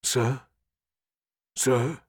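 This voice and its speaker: A man's voice: mid-range voice, fairly even intonation, drawn-out words, breathy edge, no echo, studio quality, full character voice. A man voicing a weary, worried general who is pleading his case earnestly and with insistence. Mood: urgent.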